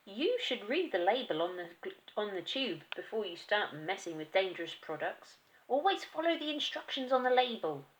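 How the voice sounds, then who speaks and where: A woman voicing a sharp-tongued mother who scolds and lectures in a storybook reading. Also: medium pitch, sing-song, normal speed, a little hiss or hum, pitched above the performer's own voice, lightly acted, poor audio. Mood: lecturing. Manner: bossy, lecturing.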